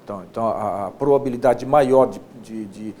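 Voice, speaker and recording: A Brazilian man hosting a casual interview, phrasing his questions in a halting, repetitive way with frequent checking tags. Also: a little mellow. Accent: Brazilian Portuguese. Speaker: interview host, state deputy. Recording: faint rumbling background noise.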